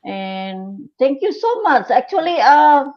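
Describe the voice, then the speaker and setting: A woman's voice: sits high, big pitch swings, takes it slowly, dull audio. A woman hosting an online interview who asks long, loosely worded questions. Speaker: host.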